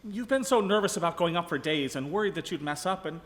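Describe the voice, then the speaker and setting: An actor playing an apologetic, earnest, halting theater director in a live radio comedy. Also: male voice, sits high, lively intonation, fairly bright tone. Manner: halting, placating. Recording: reverberant ballroom stage.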